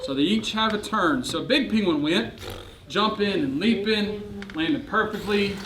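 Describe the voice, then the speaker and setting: A man's voice: sits high, normal speed, fairly bright tone. A man telling a storybook to children in a playful, theatrical way, often putting questions to them. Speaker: children's storyteller and church kids' ministry host. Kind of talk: animated storybook reading to a group of children. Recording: noisy, echoey room with clicks.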